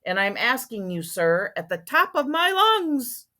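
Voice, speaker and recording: A woman voicing a short, old, male forest creature from a storybook, sharp, bossy and indignant as he scolds. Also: on the high side, big pitch swings, unhurried, voice pushed up, heavily acted. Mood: indignant, pleading.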